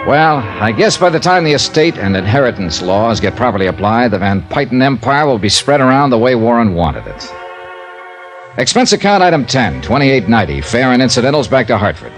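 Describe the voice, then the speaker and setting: A hard-boiled male investigator played by a radio actor, sardonic and clipped. His medium-pitched voice moves with lively inflection, in vintage 1950s radio style. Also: brisk, soft background music, natural voice. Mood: wry narration. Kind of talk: 1950s radio acting and narration. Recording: old radio show recording.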